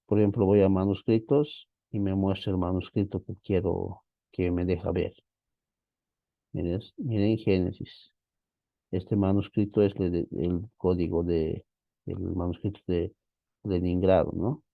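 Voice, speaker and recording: A man with a very low voice and a gravelly, creaky edge walks a class through software in a relaxed, thinking-aloud way. The sound is dry and close, a little muffled and lo-fi. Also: unhurried, no room sound. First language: Spanish. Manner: casual, thinking aloud, frequent "¿no?" tags. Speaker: teacher. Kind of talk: screen-share software walkthrough.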